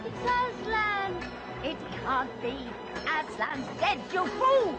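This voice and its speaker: Fantasy film villainess: piercingly high, wildly varied, contemptuous delivery. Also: female voice, big pitch swings, slow pace, full character voice. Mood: shocked, scornful. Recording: film audio under loud music.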